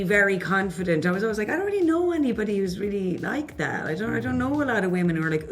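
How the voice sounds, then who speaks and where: Soft-spoken woman, thoughtful. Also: lowish voice. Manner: thoughtful, unhurried. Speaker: actress. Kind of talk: press junket interview.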